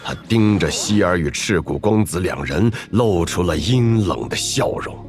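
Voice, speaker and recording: 一名男旁白，以戏剧化的方式讲述动画故事。. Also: male voice, deep voice, expressive, unhurried. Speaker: narrator. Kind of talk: dramatic scripted narration of an animated story.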